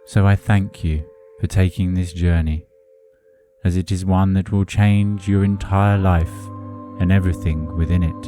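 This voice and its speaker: A man narrating a hypnotic guided meditation in a soothing, deliberate manner with measured phrasing. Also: sits low, fairly even intonation, mellow. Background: music under the voice.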